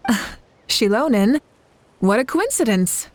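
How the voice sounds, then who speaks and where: A woman playing a composed, confident female leader in a video game, measured and assured with a playful side. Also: on the high side, sing-song.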